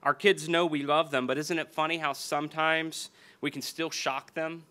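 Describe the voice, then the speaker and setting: A man in his forties with an American accent preaching a sermon and reading scripture at a lectern, slowing down and repeating key phrases for emphasis. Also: a little high, fairly even intonation, bright, forceful tone. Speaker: pastor. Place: church hall stage at a lectern. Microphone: small stand mic on the lectern.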